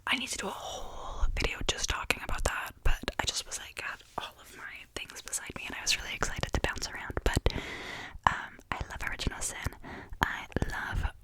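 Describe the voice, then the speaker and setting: A woman's voice: a little fast, poor audio. A young woman from Canada, whispering to a close mic as she rambles casually with many fillers and restarts, in the style of ASMR. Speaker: ASMR creator.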